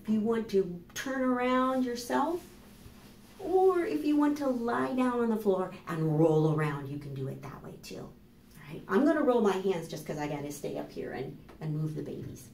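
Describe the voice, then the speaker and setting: An American woman in her fifties reading and explaining to young children as a storytime host, with deliberate, animated delivery. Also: medium pitch, slight background noise. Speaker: children's librarian hosting storytime. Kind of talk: children's storytime narration and instruction. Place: small plain indoor room. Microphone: camera microphone a few steps away. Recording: roomy echo, voice far from mic.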